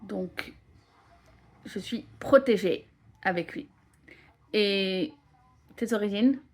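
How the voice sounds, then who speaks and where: A woman's voice: mid-range voice, takes it slowly. A woman in her late twenties chatting casually to her viewers as a vlogger. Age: 20s. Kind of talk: casual vlog chat.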